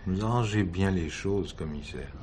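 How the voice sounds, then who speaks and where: An actor playing a wounded, weary husband suspected of murder, halting and defensive, in a French radio crime drama. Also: male voice, low-pitched, darkish tone, natural voice. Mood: pleading, defensive. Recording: noisy old radio drama recording.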